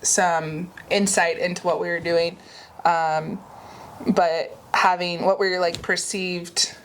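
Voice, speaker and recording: A woman hosting a heartfelt conversation, reflective and hesitant, with many pauses and "um"s. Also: lowish voice, level tone, slight background noise. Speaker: podcast host.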